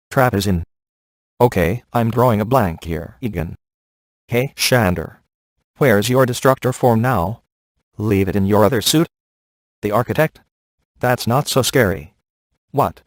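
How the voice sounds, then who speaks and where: Game role: wisecracking ghost hunter, male actor. Mid-range, deadpan, sarcastic, cocky and offhand. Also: mid-range voice, no echo, natural voice. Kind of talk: video game voice acting.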